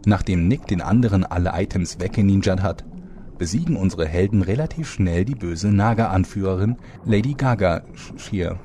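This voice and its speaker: A man's voice: low-pitched, moderate pace, dark tone, slight background noise, natural voice. A man playing a wry, bossy storyteller, delivering scripted comic narration with dry irony. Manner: dry, ironic.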